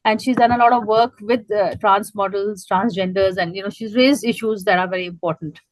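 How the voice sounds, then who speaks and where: A woman hosting a TV interview show, introducing guests and asking questions with some gentle hesitation. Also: medium pitch, fairly even intonation, a little fast, dry recording, low-quality recording. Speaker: TV news host.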